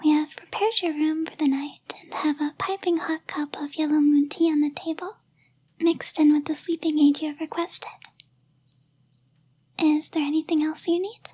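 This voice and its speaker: A woman playing a polite, attentive fantasy innkeeper in a gentle, whispery, unhurried ASMR roleplay. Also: high voice, fairly even intonation, close to an everyday voice. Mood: gentle, courteous.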